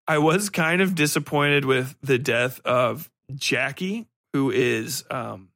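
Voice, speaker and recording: A man's voice: mid-range voice, fairly bright tone, no room sound. Man podcasting solo in a dry, close space. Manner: rambling, thinks aloud, swears casually. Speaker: podcast host. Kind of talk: casual review commentary, solo podcast.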